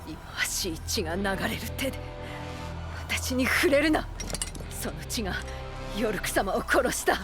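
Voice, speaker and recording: A woman's voice: medium pitch, sing-song, measured pace, pauses often. A fiercely loyal female second-in-command in an anime game. She speaks formally and with intense command in a mid-range voice, stern and bitterly wounded, with prominent music behind her. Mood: anguished fury.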